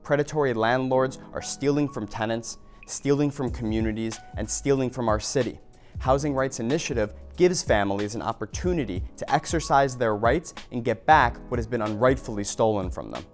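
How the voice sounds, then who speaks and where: A man's voice: medium pitch, normal speed, soft background music. Polished man delivering scripted advocacy. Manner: polished, rhythmic lists. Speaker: nonprofit founder. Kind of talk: scripted advocacy speech to camera. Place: office room.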